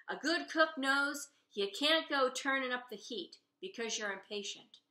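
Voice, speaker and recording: Female author, expressive storybook read-aloud with informal asides, bright tone, roomy echo. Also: sits high, unhurried. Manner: openly fond of the characters. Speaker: author reading own novel. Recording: roomy, echoey room sound.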